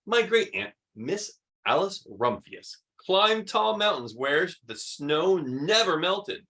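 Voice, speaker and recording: A man reads a children's storybook aloud in a fairly high, very lively voice. He leans on key words and pauses for drama, swinging his pitch as he goes. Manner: animated, emphatic, dramatic pauses. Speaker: read-aloud host. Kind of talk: expressive children's storybook reading.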